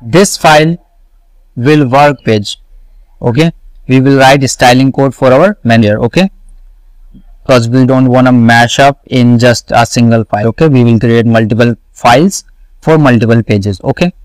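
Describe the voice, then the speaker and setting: A man walking through a coding tutorial, slowly repeating each step and answering his own questions. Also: medium pitch, no echo, low-quality recording. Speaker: web development tutor. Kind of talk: screen-recorded coding tutorial.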